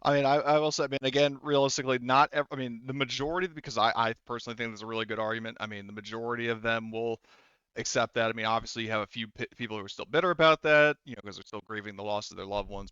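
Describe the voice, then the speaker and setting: A man's voice: medium pitch, quick pace, fairly bright tone, smooth, dry recording, dull audio. A man acting as game master, narrating a tabletop roleplay in a casual, rambling, conversational way.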